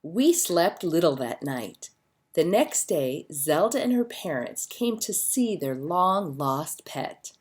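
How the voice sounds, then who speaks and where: A woman reading a children's story aloud as a narrator, with expressive storytelling delivery. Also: low-pitched, takes it slowly. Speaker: storybook reader.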